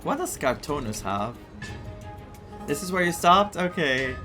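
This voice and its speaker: A man's voice: on the high side, big pitch swings, measured pace. Man streaming over game music. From Greece. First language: Greek. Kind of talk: casual gameplay reaction and reading aloud.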